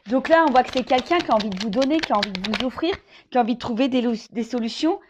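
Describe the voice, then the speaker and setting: Woman, fairly high voice, tarot reader, informal and improvised, hesitant thinking-aloud delivery, addressing the viewer directly. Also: expressive, very fast, background noise. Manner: hesitant, thinking aloud, with frequent "hein" tags and repeated phrases. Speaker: tarot card reader hosting a love horoscope reading.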